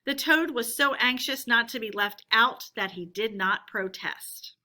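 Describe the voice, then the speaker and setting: A woman reading a children's story aloud as an expressive storyteller. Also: fairly high pitch, unhurried.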